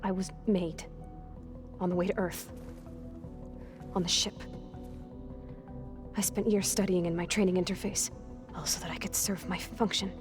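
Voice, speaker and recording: An actress playing an anxious young woman made on a ship, speaking in a halting, clinical way in a game cutscene. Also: lowish voice, steady tone, over music, natural voice. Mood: earnest. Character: anxious young woman clone, fearful, skittish. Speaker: game character. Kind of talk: video game cutscene acting.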